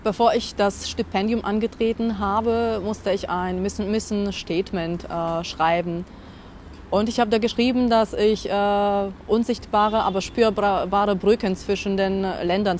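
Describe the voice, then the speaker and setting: Woman, fluent, mid-pitched, faint noise. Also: steady tone, measured pace, darkish tone. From Poland. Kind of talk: interview answers.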